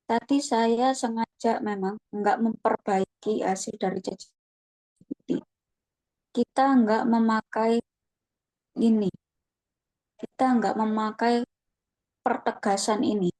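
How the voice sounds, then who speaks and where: A woman walks viewers through an online tutorial in a relaxed, chatty way, pausing to think aloud with fillers. Her voice is slightly high and mellow, picked up close and dry. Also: no room sound, rough recording. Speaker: webinar presenter.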